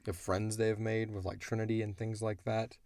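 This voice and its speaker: A man with a low, creaky voice chats casually on a podcast. He is blunt, thinking aloud as he fields listener questions, and the tone is soft-edged and dark. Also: level tone, fairly quick, no echo, clean studio sound. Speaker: audio drama creator answering listener questions.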